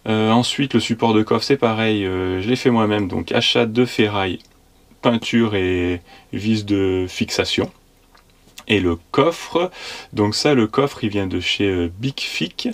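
A man's voice: fairly low pitch, measured pace. A man in his thirties casually explaining his van conversion from inside the van, hesitant, with many fillers. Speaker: vanlife vlogger.

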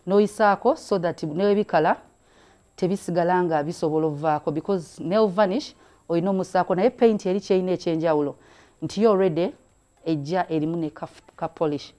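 Middle-aged woman, craft host, low mellow voice, chatty and encouraging, indoor room with a camera mic. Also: brisk. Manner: mixes languages, chatty and encouraging. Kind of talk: hands-on craft demonstration.

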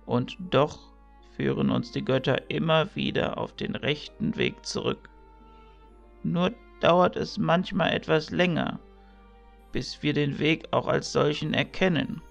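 A man as a gravelly old village mentor. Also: a little high, a little slow, lo-fi sound, soft background music. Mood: wise, reflective. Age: older. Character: old village mentor priest, kindly, teasing and wise. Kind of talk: amateur fantasy audio drama acting.

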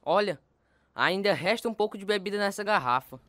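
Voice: medium pitch, animated, fairly quick, background noise, natural voice. A performer playing a playful, defiant little boy, a younger brother in a radio drama. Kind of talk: radio drama dub acting.

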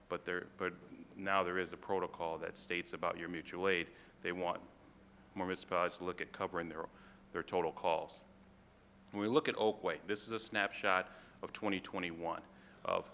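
Low gravelly man in a reverberant chamber. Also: bright, forceful tone. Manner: halting, many fillers and restarts. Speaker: fire department official presenting to council. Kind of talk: council meeting presentation. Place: council chamber. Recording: reverberant chamber, faint room noise.

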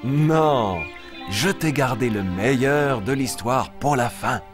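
A man's voice: mid-range voice, slow, over music, close to an everyday voice. A man playing an eager young boy lion, telling stories simply and expressively in a children's puppet show. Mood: earnest. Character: eager young boy lion, cheerful storyteller of Bible tales. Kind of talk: animated storytelling for small children.

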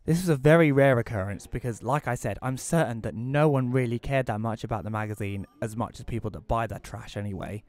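A man playing a bitter, reclusive widower who narrates an audio play in a wry, deadpan way. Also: mid-range voice, fairly quick, few pauses, close to an everyday voice.

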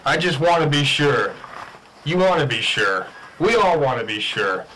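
A man's voice: on the high side, expressive, normal speed, noisy background, close to an everyday voice. A man playing a methodical, sceptical fish scientist in a radio drama spoof. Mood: insistent. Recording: music behind the voice.

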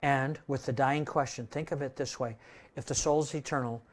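A man in his sixties preaching a sermon in a church room, conversational, tossing in "right?" and swinging between loud and soft. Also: medium pitch, steady tone, normal speed. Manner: conversational preaching, tag questions, dynamic swings in loudness. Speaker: pastor. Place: church room with projector screen.

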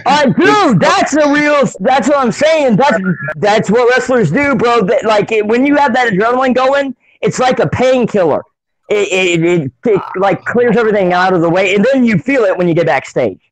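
A man co-hosting a casual wrestling podcast, excitable, laughing often and tripping over his words. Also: very high-pitched, moderate pace, no echo, poor audio. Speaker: podcast co-host.

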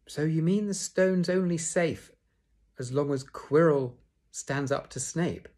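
A man voicing an anxious, clever schoolgirl with a squeaky, alarmed delivery. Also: wildly swooping pitch, unhurried, a little mellow, slightly rough, exaggerated voice.

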